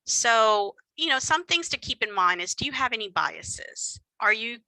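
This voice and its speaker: A woman with a Texan accent presenting a workshop in an easy, chatty way, with lots of fillers and self-deprecating asides. Her voice is very bright and forward, recorded close and dry with no room sound. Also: fairly high pitch, expressive, moderate pace, no echo, low-quality recording. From Texas. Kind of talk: conversational lecture with slides.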